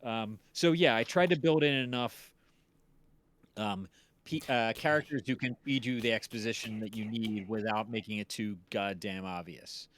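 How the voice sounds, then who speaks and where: A man hosting a casual chat as an audio drama creator, explaining things in a halting, self-interrupting way. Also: lowish voice, a bit bright, slight background noise. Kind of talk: casual chat about their own show.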